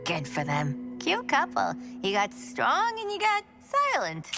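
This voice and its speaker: A teasing, gravelly unhinged girl. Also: fairly high pitch, wildly swooping pitch, a little slow, over music, heavily acted. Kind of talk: video game cutscene acting.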